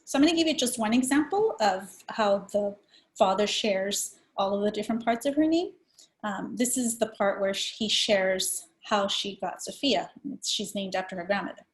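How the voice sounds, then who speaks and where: A woman in her forties from El Salvador presents to early educators, explaining ideas in a personal way. She has a mid-range speaking voice and an unhurried manner. Her delivery is that of a training webinar. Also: mid-range voice.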